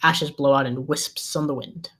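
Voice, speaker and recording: A woman's voice: deep voice, steady tone, moderate pace, husky. A woman reading a script's scene descriptions aloud as narrator at a table read.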